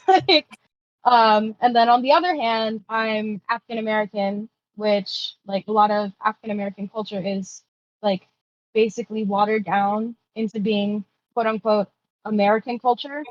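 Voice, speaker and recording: A woman's voice: medium pitch, steady tone, moderate pace, no room sound. A young woman co-hosting a podcast, talking casually with hesitant starts and a blunt, swearing edge. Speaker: podcast co-host. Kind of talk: casual chat. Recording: dry, dull lo-fi mic.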